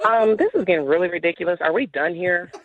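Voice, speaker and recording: A woman's voice: on the low side, animated, quick pace, crowd noise. Female caller, American, stubborn and dismissive, muffled phone line with voices behind. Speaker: phone-in guest. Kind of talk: informal phone conversation.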